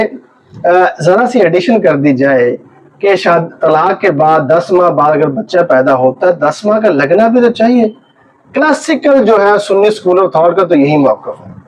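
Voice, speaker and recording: High-pitched Pakistani man lecturing on law. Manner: explanatory, emphatic repetition of key points. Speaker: advocate explaining law. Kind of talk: informal legal lecture. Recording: dull, lo-fi muffled audio.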